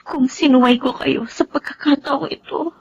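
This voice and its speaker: A Filipina actress playing a devoted, tender and determined young bride and daughter in a radio drama. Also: female voice, sits high, steady tone, normal speed, vocal fry, darkish tone, muffled recording. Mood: tearful, earnest.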